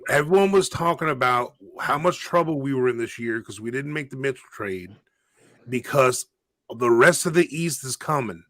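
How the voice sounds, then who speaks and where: A man's voice: medium pitch, lively intonation, moderate pace, fairly bright tone, dry recording. A man in his forties co-hosting a casual sports debate, arguing his point and repeating himself to drive it home. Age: 40s. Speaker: podcast co-host.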